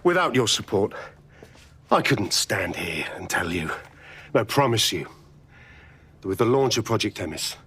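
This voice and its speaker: A deep-voiced, ruthless military chief. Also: male voice, big pitch swings, fairly bright tone, slightly rough, full character voice. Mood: grand, persuasive. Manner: measured, emphatic oratory with deliberate pauses. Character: ruthless, self-assured male private military chief pitching drones. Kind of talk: dramatic video game cutscene acting. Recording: music behind the voice.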